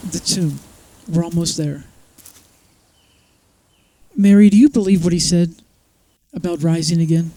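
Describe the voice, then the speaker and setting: A repentant biblical councillor in an audio drama. His voice is fairly high for a man and very mellow. He speaks solemnly, in measured, confessional phrases. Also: fairly even intonation. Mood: gentle. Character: Joseph, solemn repentant councillor, secret male believer.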